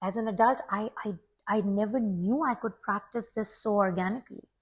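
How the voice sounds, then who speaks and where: A woman's voice: mid-range voice, moderate pace, breathy edge. A woman in her forties from Pakistan, a longtime teacher, talking earnestly and reflectively as a guest in an interview, often repeating a word as she starts. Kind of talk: casual interview talk.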